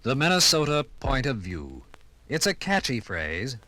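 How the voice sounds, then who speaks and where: A man's voice: mid-range voice, sing-song, measured pace, bright, forceful tone, slight background noise. An American man narrating a 1950s radio documentary from a script in a solemn, declamatory, dramatic manner.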